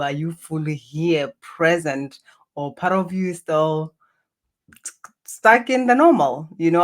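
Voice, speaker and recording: A middle-aged woman from Botswana with a Botswana accent, a healer talking earnestly as a guest over a video call, often laughing. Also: low-pitched. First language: Setswana. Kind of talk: reflective interview talk.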